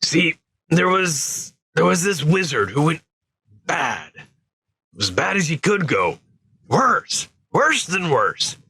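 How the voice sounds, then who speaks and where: A man voicing a big, gruff male half-giant gamekeeper who speaks in a halting folksy dialect. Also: on the high side, sing-song, sharp tone, dry recording, pitched above the performer's own voice, full character voice. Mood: fearful.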